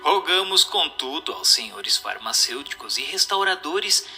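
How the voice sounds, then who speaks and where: A man giving a formal, deliberate, didactic scripted reading of questions and answers. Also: a little high, very animated, sharp tone, faint music behind. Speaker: narrator.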